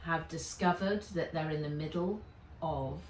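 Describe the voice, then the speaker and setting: A woman reading a children's adventure story aloud with dramatic, expressive narration. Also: low voice, a little slow, slight background noise. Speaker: author reading own book aloud. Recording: roomy, distant microphone.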